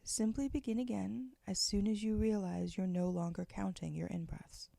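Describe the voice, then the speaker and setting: A woman leading a guided meditation, giving slow, soft, gentle instructions with long pauses. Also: mid-range voice, fairly even intonation, creaky, dry recording.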